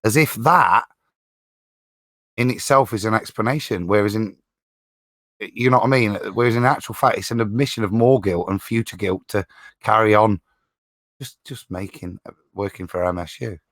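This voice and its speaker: A man on a discussion panel, talking casually in a halting, circling, indignant way. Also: on the low side, a bit bright, dry recording. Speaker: panelist on a live show.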